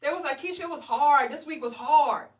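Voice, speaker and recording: A woman, coaching weight loss on a live stream in an energetic, preachy way, often asking "right?". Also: high voice, fairly quick, roomy sound, recorded from a distance. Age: 40s. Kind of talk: live stream motivational talk.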